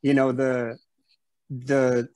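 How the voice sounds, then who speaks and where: A man's voice: medium pitch, monotone, extremely slow, warm tone, poor audio. A man from San Antonio with a slight Spanish-tinged Texan accent, telling a story as an interview guest over a video call, rambling with lots of fillers and restarts. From San Antonio, Texas. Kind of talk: casual storytelling chat. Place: room at home. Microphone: webcam on a video call.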